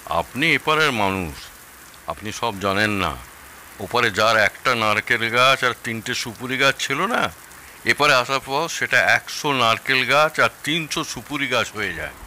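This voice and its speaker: An actor as a sharp, sceptical older man. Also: mid-range voice, animated, measured pace, slight background noise. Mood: sceptical, teasing. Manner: questioning, wry. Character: sceptical, teasing older in-law, a male guest. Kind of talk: audio drama acting. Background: rain.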